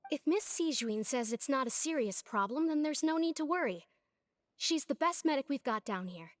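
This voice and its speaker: A woman's voice: high voice, animated, moderate pace, no echo. A woman playing an earnest, prim young helper in a video game, polite and given to lecturing. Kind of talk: video game dialogue acting.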